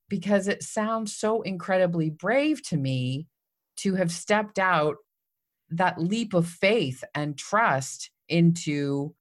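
A woman hosting a conversational interview, drawing out her guest's story and echoing it back with encouragement. Her voice sits a little low for a woman. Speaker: podcast host.

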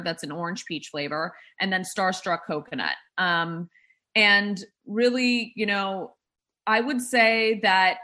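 A woman's voice: fairly low pitch, pushed and bright. A woman who founded a drink startup, talking in a casual interview, rambling with many fillers and restarts.

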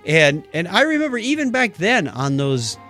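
Animated middle-aged man hosting a podcast. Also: high voice, moderate pace, faint music behind. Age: middle-aged or older. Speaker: podcast host. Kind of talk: casual tech talk show chat.

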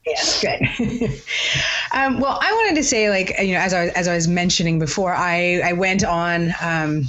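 A woman co-hosting a podcast, asking questions in a thoughtful, halting, conversational way. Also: lowish voice, animated, fairly bright tone, rough recording. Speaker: podcast co-host, interviewer.

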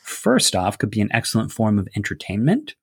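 A man's voice: lowish voice, wildly swooping pitch, brisk, gravelly, breathy edge, dry recording, studio quality. A man talking casually about a fantasy setting, explaining ideas at length with many fillers. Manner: rambling, filler-heavy, explanatory. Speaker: game designer discussing a setting. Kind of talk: casual discussion.

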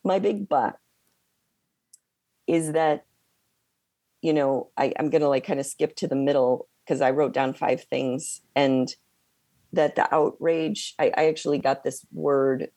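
A woman co-hosting a podcast, hoarse from losing her voice, talking in a halting, hesitant way with many pauses. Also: sits low, warm tone, no echo. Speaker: podcast co-host. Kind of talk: casual conversation.

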